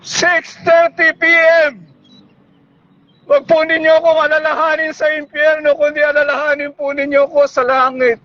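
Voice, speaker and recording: High-pitched Filipino man preaching, pleading. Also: little pitch movement, normal speed, muffled recording. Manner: pleading, shouting exhortation. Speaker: street preacher. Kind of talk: evangelistic public sermon. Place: busy public bus terminal.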